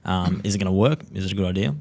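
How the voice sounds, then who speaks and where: Male Australian podcast host, very low voice, rapid delivery, casual interviewer. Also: a little mellow.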